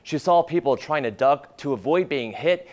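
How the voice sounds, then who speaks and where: A man's voice: fairly high pitch, animated, normal speed. A man delivering a scripted live report as a news reporter.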